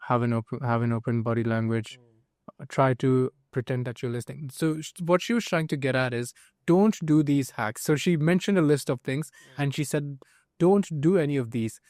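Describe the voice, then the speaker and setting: A man's voice: medium pitch, moderate pace, darkish tone, dry recording, clean studio sound. A young man from India, a native Hindi speaker, hosting a casual podcast chat in an earnest way and often asking his guest for agreement. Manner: earnest, asks for agreement, many fillers. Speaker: podcast host.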